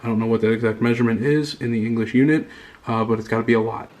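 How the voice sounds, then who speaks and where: Flat-toned man rambling through a home vlog. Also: medium pitch. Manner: rambling, pausing often to find words. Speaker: vlogger. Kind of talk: casual show-and-tell vlog. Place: indoors at home in a condo.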